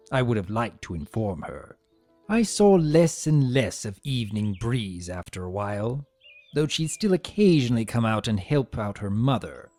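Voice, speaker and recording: A man voicing a vain, spiteful, sarcastic trickster creature trapped as a statue, telling its story with theatrical, sneering, drawn-out emphasis. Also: medium pitch, a little mellow, no room sound. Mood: sardonic. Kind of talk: dramatic first-person story reading. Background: music.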